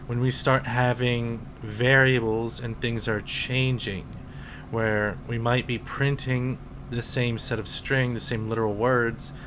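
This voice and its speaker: A man in his twenties, a teacher, calmly explaining programming in a casual screen-recorded tutorial with some fillers. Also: medium pitch, noisy background. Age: 20s. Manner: slow, relaxed explaining with fillers.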